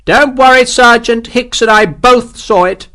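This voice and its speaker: A man's voice: very high voice, measured pace, pushed and bright, voice pushed up, heavily acted. A man doing a clipped, commanding army captain.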